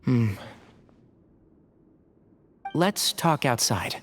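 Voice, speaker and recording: A man playing a calm, tactful young scholar-doctor in an anime-style video game, gentle, measured and articulate. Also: medium pitch, faint music behind. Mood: grave.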